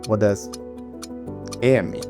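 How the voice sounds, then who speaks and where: A man's voice: lowish voice, a little slow, music in the background. A man hosting an interview, asking quiz questions in an easygoing way with lots of fillers. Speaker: podcast host.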